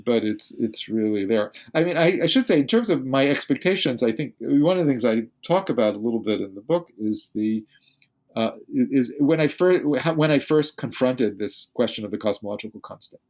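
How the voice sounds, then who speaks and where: Older male academic guest, mid-pitched, halting delivery full of restarts. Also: expressive, a little mellow. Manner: halting, many restarts and repeated words. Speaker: physicist guest. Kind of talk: unscripted podcast interview.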